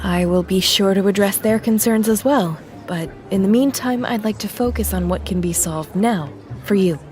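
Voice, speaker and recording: A woman's voice: medium pitch, moderate pace, darkish tone, faint music behind, close to an everyday voice. A woman playing a polite, controlled town official in an audio drama. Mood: apologetic, firm. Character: composed, formal female town official, diplomatic.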